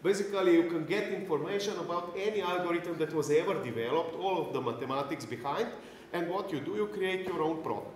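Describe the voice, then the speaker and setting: A man's voice: on the high side, fairly quick. A middle-aged man from Slovenia with a Slovenian accent, giving a technical lecture at a conference as a non-native speaker. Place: indoor conference breakout room. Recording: roomy echoey hall, clear background noise.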